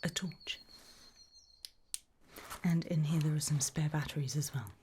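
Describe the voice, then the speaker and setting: A British woman whispering a creepy ASMR roleplay as a calm, reassuring gravedigger, hushed and matter-of-fact with long pauses. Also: sits low, slight background noise.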